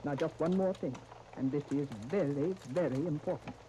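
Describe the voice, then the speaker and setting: An actress playing a vicious, scheming woman who gives commanding, deliberate orders in a 1930s radio soap opera. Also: deep voice, lightly acted. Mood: scheming, insistent. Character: vicious scheming woman plotting to frame a family. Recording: muffled, noisy 1930s radio recording.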